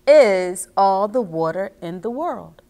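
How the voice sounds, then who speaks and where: A middle-aged woman reading and explaining to children as a story time host, expressive and speaking directly to her young listeners. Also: medium pitch, slow pace. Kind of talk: children's read-aloud and instruction. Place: small indoor reading nook with bookshelves.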